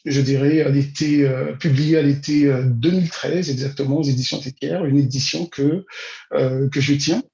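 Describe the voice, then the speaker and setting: A man, answering interview questions in a measured, hesitant way with drawn-out pauses. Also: medium pitch, fairly even intonation, vocal fry, a little mellow. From Congo-Brazzaville. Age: 40s. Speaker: interview guest, immigration consultant and teacher. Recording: dull, roomy, echoing sound.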